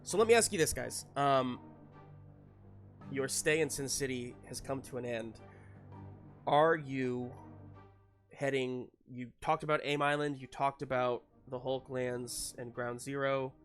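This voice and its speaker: A man runs a tabletop roleplaying game at an unhurried pace. He improvises his narration in a casual, halting way, pausing to think. Soft music plays behind his voice. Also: medium pitch. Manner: hesitant, improvising as they go. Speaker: game master.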